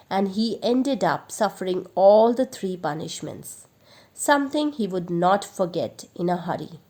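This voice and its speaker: A woman's voice: medium pitch, a little mellow. A woman storyteller narrating a children's bedtime story expressively.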